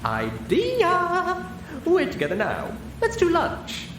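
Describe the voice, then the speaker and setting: A man's voice: extremely high pitch, wildly swooping pitch, slow, voice pushed up, full character voice. A man voicing a refined, demure lady pony in a fussy, theatrical manner. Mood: bright, delighted. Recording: roomy with clear background noise.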